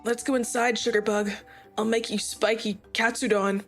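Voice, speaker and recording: A woman voicing a fierce young male hero who snarls his lines and swears at every turn. His voice sits a little high, with faint music playing behind. It is a fan fiction read aloud on a live stream. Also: little pitch movement, normal speed, lightly acted. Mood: tender.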